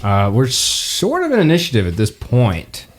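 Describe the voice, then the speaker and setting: A man narrating as game master of a tabletop game, relaxed and quick to laugh. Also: medium pitch. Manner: relaxed, laughs often, hesitant fillers.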